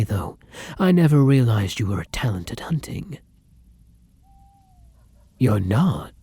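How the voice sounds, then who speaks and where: A man playing a hungry, affectionate yet menacing vampire lover in an ASMR roleplay, speaking in a formal, theatrical way that often drops to a husky whisper. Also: medium pitch, warm tone, no echo, full character voice.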